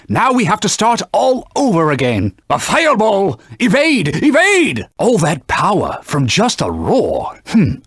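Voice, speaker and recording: A man's voice: sits high, slightly rough, no room sound, clean studio sound, full character voice. A man voicing a stern, haughty talking sword in a video game, a cautious battle mentor giving formal, scolding commands. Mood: urgent, scolding. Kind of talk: theatrical video game voice acting.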